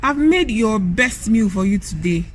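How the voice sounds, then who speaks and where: A woman's voice: mid-range voice, lively intonation, measured pace, slight background noise, natural voice. A woman playing a caring, attentive Nigerian wife in a radio drama.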